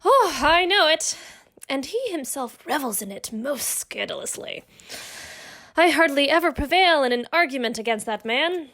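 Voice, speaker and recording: A woman's voice: sits high, animated, normal speed, a bit bright. A woman playing a spirited, outspoken noble wife in an audio drama, with poised, formal period speech. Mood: confiding, wry.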